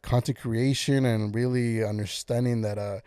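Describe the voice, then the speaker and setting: A young man with a warm, mellow voice talks on his own as a podcast host. He sounds casual and encouraging and keeps rambling with plenty of uhs. The sound is dry and close. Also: mid-range voice, fairly even intonation, moderate pace, clear, resonant tone, no echo. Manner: encouraging, rambling with many fillers. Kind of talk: casual solo podcast monologue.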